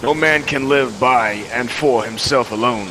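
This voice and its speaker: A young man playing a devout old priest in a radio drama, grave, measured and pious. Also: medium pitch, bright, forceful tone, a little hiss or hum, poor audio. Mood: calm resolve. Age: young adult. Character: devout old priest, calm and self-sacrificing.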